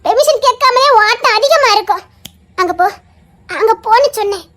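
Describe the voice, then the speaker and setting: A woman playing a fiery, very high-voiced young sweetheart. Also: animated, brisk, fairly bright tone. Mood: scolding. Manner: scolding, threatening, laughing through it. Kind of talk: amateur romantic drama.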